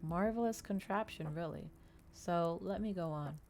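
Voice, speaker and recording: A woman voicing a brooding, courteous male vampire in a soft, slow, melancholy manner for an audiobook. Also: lowish voice, a little hiss or hum. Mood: wry, resigned.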